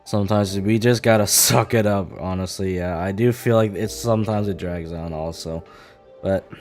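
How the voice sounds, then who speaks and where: A man's voice: low voice, moderate pace, darkish tone, soft background music. A man streaming a game, giving casual commentary in an offhand, rambling way. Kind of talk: casual live gameplay commentary.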